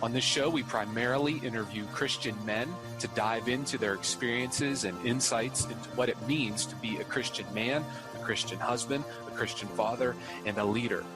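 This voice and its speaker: A man's voice: mid-range voice, normal speed, hardly any pauses, poor audio. A man delivering a scripted podcast promo as its host, fluent, orderly and welcoming. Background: music bed.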